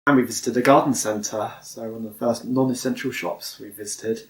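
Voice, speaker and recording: British man, relaxed chuckly home-channel host, roomy sofa recording. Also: medium pitch, a little fast, a crowd in the background. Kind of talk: casual chat. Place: home living room sofa.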